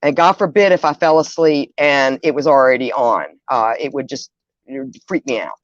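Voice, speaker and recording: A woman's voice: very deep voice, moderate pace, no echo. A middle-aged woman hosting a livestream, chatting casually and musingly, speaking straight to her listeners. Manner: conversational, musing, addresses listeners directly. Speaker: livestream host. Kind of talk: casual livestream chat, reading out viewer comments. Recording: dull, dry lo-fi audio.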